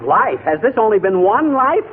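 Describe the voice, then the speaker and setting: An actor playing a folksy, wisecracking husband in a 1940s radio comedy. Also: male voice, sits high, big pitch swings, normal speed, phone-quality sound. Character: wisecracking, easygoing husband of the house. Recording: thin, very noisy radio before live audience.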